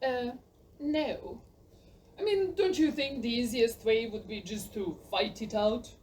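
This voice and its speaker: Woman as hesitant storybook knight, high voice, slow theatrical phrasing, slightly distant mic, audio play. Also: darkish tone, a little hiss or hum, natural voice. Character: Saint George, hesitant young male knight and dragon slayer.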